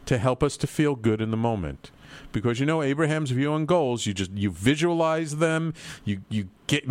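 A man hosting a talk show, talking in a rambling, earnest way with repeated words. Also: mid-range voice. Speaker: host. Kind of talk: casual talk show chat, livestream.

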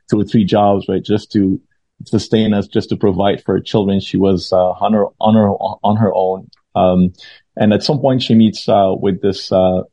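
A man's voice: deep voice, vocal fry, mellow, husky, no room sound. A man in his thirties from Lebanon, a pastor telling his life story in an interview, speaking hesitantly with many fillers and restarts. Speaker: pastor and guest. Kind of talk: testimony interview. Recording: dull lo-fi dry audio.

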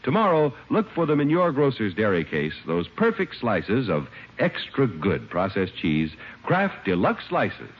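A man's voice: on the low side, wildly swooping pitch, measured pace, hardly any pauses, noisy background. A man announcing in 1950s radio style, reading advertising copy and narration with emphatic, polished salesmanship. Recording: muffled old radio recording.

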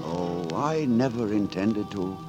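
An actor playing a gallant, roguish gutter poet and leader of rabble in a theatrical, declamatory 1940s radio drama style. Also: male voice, lowish voice, animated, takes it slowly. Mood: tender, rueful. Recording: 1940s radio broadcast with music behind.

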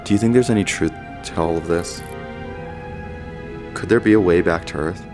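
A man's voice: deep voice, moderate pace, over music, natural voice. A man playing a wry, loyal runaway nobleman turned spaceship crewman, talking casually and teasingly in a science fiction audio drama. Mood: probing.

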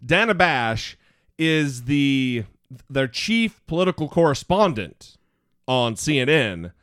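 A man's voice: medium pitch, sing-song, slow pace, a bit bright, dry recording, studio quality. A man co-hosting a podcast in casual political chat, with a goofy voice and a playful, sarcastic, teasing manner. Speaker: podcast co-host.

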